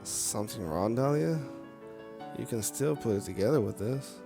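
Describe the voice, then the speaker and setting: A man's voice: mid-range voice, expressive, moderate pace, gravelly, dark tone. A man voicing a humble, friendly sad clown puppet in a game, casual and self-effacing. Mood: concerned. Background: music.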